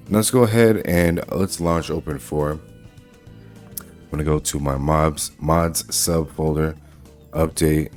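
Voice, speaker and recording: A man hosting a casual software walkthrough, talking informally through each step as he goes. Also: a bass voice. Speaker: tutorial host.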